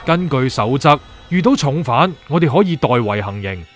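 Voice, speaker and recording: A man acts a stern male superior in a radio drama. He speaks with terse authority in a mid-pitched voice, with music behind him. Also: animated, normal speed, lightly acted. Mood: stern, commanding. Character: stern, commanding male superior of soul prosecutors. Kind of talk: Cantonese radio drama acting.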